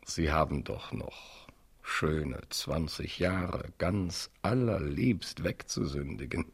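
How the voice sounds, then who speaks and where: An actor playing an impatient, haughty, amorous French marquis in a German radio play, urbane and commanding. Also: male voice, very deep voice, lively intonation, slow pace, creaky, husky, full character voice. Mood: teasing, suave.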